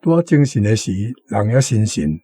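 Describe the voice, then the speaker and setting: A man's voice: fairly low pitch, big pitch swings, moderate pace, dark tone, breathy edge, dry recording, studio quality. A man with a Taiwanese accent explaining words in a didactic way as a radio guest, repeating key terms. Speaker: guest commentator on a radio programme. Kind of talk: explanatory talk on words and meanings.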